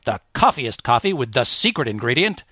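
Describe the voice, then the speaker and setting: A man's voice: mid-range voice, big pitch swings, fairly quick, bright, forceful tone, no room sound. A man playing an old-time radio announcer in a parody, reading credits and sponsor copy in a formal, showy way. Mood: grandly promotional. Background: music.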